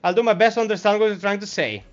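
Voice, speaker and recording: A man with a Greek accent, a native Greek speaker, giving friendly, relaxed gameplay commentary as a streamer. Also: high-pitched, racing, slight background noise. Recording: slightly muffled stream mic.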